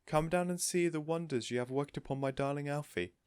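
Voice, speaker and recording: An excitable lady from a children's storybook, played by a man in falsetto-like high pitch. She is shrill and effusive, exclaiming adoringly at everything. The sound is close and dry. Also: a little fast, smooth, no room sound, natural voice. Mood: thrilled. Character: excitable, adoring lady neighbour, gushing with delight. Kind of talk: storybook character voice.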